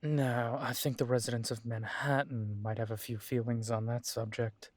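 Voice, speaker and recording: A man playing an earnest young adult werewolf son in an audio drama, talking in a measured, steady way. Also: medium pitch, no room sound. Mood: friendly. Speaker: audio drama creator and lead voice.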